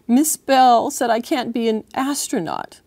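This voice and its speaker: An American woman voicing an earnest, expressive young girl in a children's storybook. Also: on the high side, a little slow, no room sound, pitched above the performer's own voice. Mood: upset. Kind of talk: storybook character reading.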